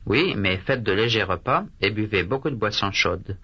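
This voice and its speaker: A man voicing a calm doctor in a language course drill, speaking slowly and clearly, with repeated phrases. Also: lowish voice. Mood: measured. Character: calm adult male doctor. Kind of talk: scripted language drill dialogue. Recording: dull lo-fi course tape.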